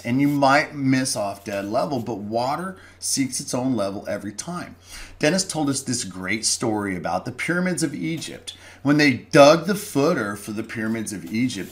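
A middle-aged man giving a folksy, plainspoken carpentry lesson, with restarts and self-corrections, recorded at a desk mic indoors. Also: mid-range voice. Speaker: lumber company presenter. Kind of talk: instructional voice-over.

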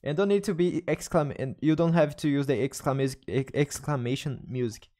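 A young man from Brazil with a Brazilian Portuguese accent, chatting with viewers in halting second-language English while gaming on a live stream. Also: a little high, mellow. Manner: halting non-native English, restarts and searches for words. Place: small room at a desk. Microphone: studio mic on arm, headphones.

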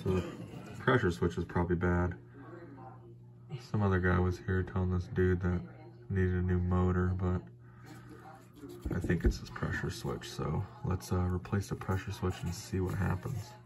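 A man's voice: deep voice, flat delivery, normal speed, pauses often. A man working as a heating technician, talking himself through a furnace repair in a laid-back, muttering way, recorded on a phone in a cramped closet. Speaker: HVAC technician. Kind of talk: hands-on repair walkthrough. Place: cramped mobile home furnace closet, indoors.

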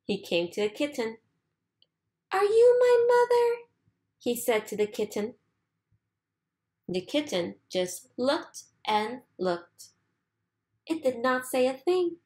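Slow, lively woman reading a storybook. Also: fairly high pitch. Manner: deliberate, sing-song emphasis, repeating phrases. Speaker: storybook reader. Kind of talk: expressive children's read-aloud.

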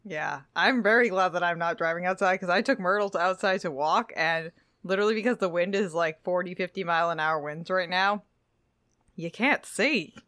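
A woman's voice: lowish voice, normal speed, a bit bright, no echo, studio quality. A woman co-hosting a book-club podcast in casual, conversational talk. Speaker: podcast co-host.

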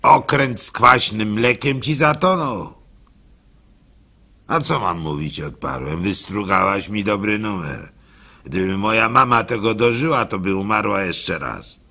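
A gullible village fool in a radio play, telling his woes in a rueful, folksy way. The voice is bright and forceful with a creaky edge. He takes his time, sighing theatrically as he goes. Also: lowish voice, lively intonation, a little slow, exaggerated voice. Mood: aggrieved. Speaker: radio drama actor. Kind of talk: first-person dramatic monologue storytelling.

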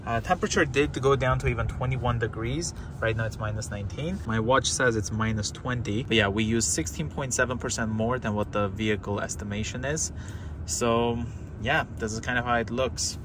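A man's voice: mid-range voice, moderate pace. Young man casually explaining car data. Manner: chatty, explanatory, thinks aloud with fillers. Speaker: car channel host. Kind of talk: casual walkthrough vlog. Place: inside a parked car, later outdoors in a winter parking lot. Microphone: phone camera at arm's length. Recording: noisy car and street background.

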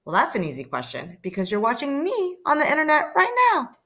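A woman's voice: sits high, huge pitch swings, a little fast, a bit bright. A woman storyteller and teacher reading aloud to children, coaxing listeners to join in. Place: small room indoors.

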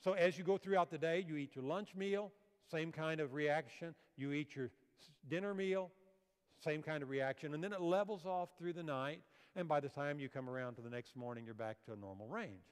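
A man's voice: fairly high pitch, a little fast, clean tone. An older man giving a health seminar lecture on a church auditorium stage, explaining slides and asking the audience questions. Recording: long hall reverb.

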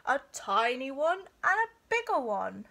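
A woman's voice: high voice, big pitch swings, unhurried, creaky, bright, forceful tone, voice pushed up, exaggerated voice. A woman voicing a big, caring male bear from a storybook, patient, emphatic and reassuring. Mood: patient, coaxing.

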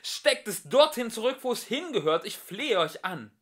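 A man's voice: very high voice, very animated, moderate pace, a bit bright, voice pushed up, full character voice. A man voicing an earnest, emotional young maiden witch from a storybook, imploring and dramatic. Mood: pleading. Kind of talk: storybook dialogue acting.

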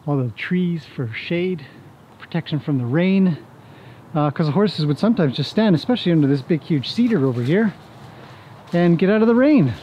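A man's voice: a little high, lo-fi sound. A man in his forties talking casually and ramblingly to a camera as he walks outdoors, explaining things in a laid-back, self-deprecating way. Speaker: vlog host and horse paddock owner. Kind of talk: casual walk-and-talk vlog explanation. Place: outdoors on a wooded horse property. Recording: clear outdoor ambient noise.

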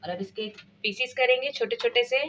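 Woman cooking-channel host, Pakistani Urdu accent, bright forceful voice, slightly dull lo-fi home recording, chatty step-by-step delivery. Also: fairly high pitch, a little fast. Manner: chatty step-by-step explaining, frequent filler phrases. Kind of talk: casual cooking demonstration. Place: home kitchen.

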